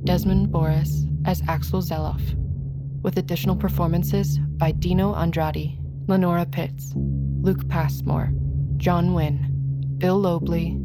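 A woman reading scripted closing credits as an announcer. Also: on the low side. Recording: loud music under voice.

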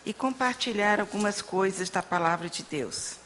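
A woman interpreting a sermon into Portuguese and reading scripture aloud in a steady, measured way. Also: medium pitch. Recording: long hall reverb with faint room noise.

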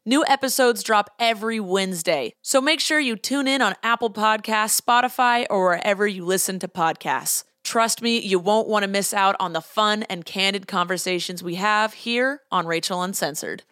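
A woman's voice: medium pitch, a bit bright, no room sound. Chatty woman plugging her podcast, dry. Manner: chatty, enthusiastic. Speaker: podcast host. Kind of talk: casual self-promo ad.

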